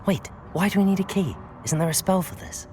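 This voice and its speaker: A man's voice: high voice, a little fast, dark tone, a little hiss or hum, natural voice. A man voicing a curious, eager young student wizard in a video game, earnest and politely cautious. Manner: earnest, inquisitive.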